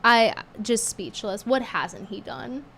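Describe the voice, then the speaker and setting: A woman's voice: fairly high pitch, expressive, slow pace, vocal fry, a bit bright, slight background noise. A woman streamer chatting bluntly with viewers, her voice strained from a cold and hard to get out. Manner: blunt, scornful and chatty, reading tips aloud. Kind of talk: casual livestream chat.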